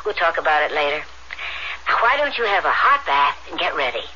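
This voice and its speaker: Concerned radio-drama mother: bright, piercing, solicitous and hesitant, on a dull old broadcast recording. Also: sits low, big pitch swings, brisk, slight background noise, exaggerated voice. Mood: uneasy concern. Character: worried, caring mother of a grown daughter.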